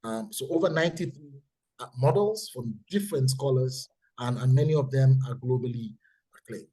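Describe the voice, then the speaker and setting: A man with a Nigerian accent giving a webinar presentation, speaking deliberately and repeating key points for emphasis, heard over a video call. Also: mid-range voice, dark tone, husky, rough recording.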